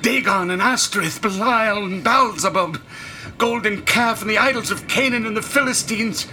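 Rambling old sailor, harshly bright. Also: male voice, high-pitched, normal speed, slight background noise. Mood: frenzied, accusing. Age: older. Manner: folksy, dropped grammar, dramatic whispers rising to shrieks. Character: rambling, half-crazed old drunken sailor, secretive and fearful. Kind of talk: radio drama monologue.

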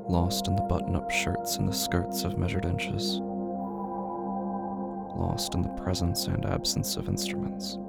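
A narrator reading literary horror fiction in a hushed, brooding, deliberate way, with a gravelly, breathy voice. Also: low voice. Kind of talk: slow literary horror audio drama narration. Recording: music bed under the voice.

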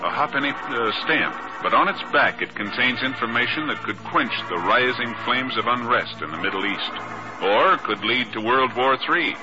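A man's voice: medium pitch, animated, moderate pace, over music. A man reading a script as a 1950s radio announcer, formal and emphatic in a declamatory way. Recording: muffled early-1950s radio recording.

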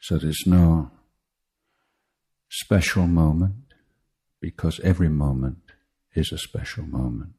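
A man teaching meditation in a guided talk, speaking in measured short phrases with deliberate pauses and a husky voice. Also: very deep voice, dark tone. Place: meditation retreat.

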